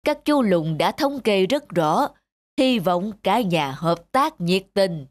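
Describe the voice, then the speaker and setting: A woman's voice: mid-range voice, animated, slow pace. A woman voicing an old Santa Claus for a cartoon, stern yet kindly, speaking with authority. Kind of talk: dubbed cartoon storytelling. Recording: music under the voice. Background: background music.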